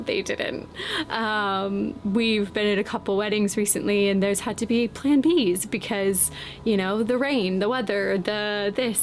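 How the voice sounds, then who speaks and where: Slow-spoken woman turning thirty. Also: medium pitch, slight background noise. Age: late 20s. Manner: slow, thoughtful, frequent ums. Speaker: vlogger. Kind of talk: reflective casual vlog talk. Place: outdoors, windy.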